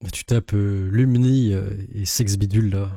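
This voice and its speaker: A man's voice: on the low side, steady tone, moderate pace, background noise. A man giving casual, sardonic, complaining live commentary as a streamer. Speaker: streamer, reaction host.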